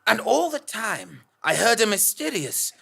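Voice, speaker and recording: A man playing a bemused investigative journalist, deliberately recounting a strange journey as audio drama narration. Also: high-pitched, big pitch swings, creaky, pushed and bright, slightly rough, heavily acted. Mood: bemused, eerie.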